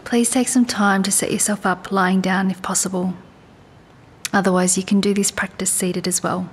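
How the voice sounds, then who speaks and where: A woman leads a guided body scan meditation in a calm, soothing, unhurried way, leaving long pauses. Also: slightly rough. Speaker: meditation and yoga teacher. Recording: faint low rumbling background noise.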